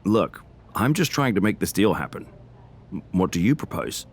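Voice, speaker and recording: A diplomatic general manager in a wrestling game. His voice is deep, and he talks in formal, slightly florid phrases, always placating and negotiating. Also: sing-song, normal speed, husky, soft background music, full character voice. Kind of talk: scripted video game cutscene acting.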